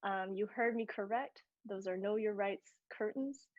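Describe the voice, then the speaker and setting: A woman's voice: mid-range voice, measured pace, dry recording. A woman co-hosting an activist live stream, reading an upbeat script. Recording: dry, muffled audio.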